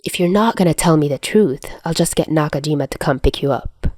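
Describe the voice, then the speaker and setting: A woman's voice: deep voice, a little fast, darkish tone, dry recording, clean studio sound. A woman voicing an irritable, cocky young man with a curt, snappish, sardonic delivery in an audiobook story. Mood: curt, suspicious.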